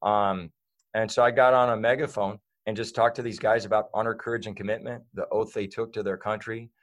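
A man's voice: on the low side, fairly even intonation, brisk. A man talking as a guest in a casual interview, rambling with lots of fillers and restarts.

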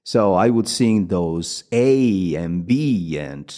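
Low man host with lively pitch. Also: slow. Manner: reflective, hesitant uhs. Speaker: podcast host. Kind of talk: interview conversation.

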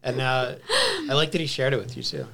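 A man's voice: mid-range voice, steady tone, a little fast, vocal fry, pushed and bright. A man in his thirties chatting casually in an anecdotal, self-deprecating way. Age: 30s. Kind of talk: casual chat.

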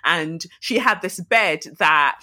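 Woman from Nigeria, podcast guest, lively and self-mocking, bright forward voice. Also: lowish voice, takes it slowly. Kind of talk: casual interview storytelling. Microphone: remote call.

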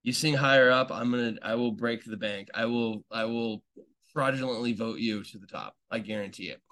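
A young adult man talks in an easy, rambling way, piling up fillers and circling back on his own sentences. His voice sits at an ordinary middle pitch for a man. He sounds like an eager fan thinking out loud as he hosts a casual podcast update. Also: moderate pace. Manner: chatty, rambling, many fillers and restarts; enthusiastic fan talk. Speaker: podcast host.

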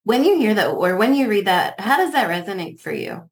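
A woman interviewing a guest with prepared questions, polite, measured and affirming. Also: mid-range voice, very animated. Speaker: podcast interviewer.

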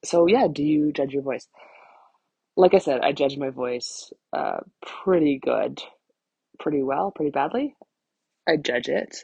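A woman's voice: sits low, animated, unhurried, creaky, pauses often, darkish tone, slightly rough, no echo, highs cut off. A woman in her thirties hosting a casual solo podcast, rambling candidly and self-deprecatingly, swearing freely. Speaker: podcast host, vocal empowerment coach.

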